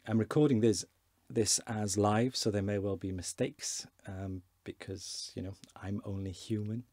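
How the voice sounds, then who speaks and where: A man in his forties, a fluent Welsh speaker, giving a relaxed, chatty beginner lesson from his desk with hesitations and repeated words. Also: on the low side, a little slow, no echo, clean studio sound. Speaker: Welsh language teacher. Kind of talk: casual online lesson.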